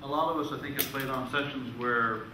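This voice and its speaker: A man's voice: medium pitch, steady tone, a little fast. A man asking questions from an audience, persistently rephrasing his point. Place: echoey room at a live presentation. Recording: echoey room, distant mic, clear background noise.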